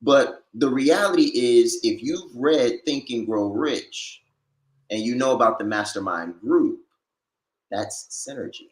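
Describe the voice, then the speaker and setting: A man's voice: mid-range voice, slow pace, echoey room, rough recording. A man hosting a motivational show, talking in an enthusiastic, conversational way. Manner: enthusiastic, conversational, uses fillers like "like". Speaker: show host. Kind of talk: casual motivational chat.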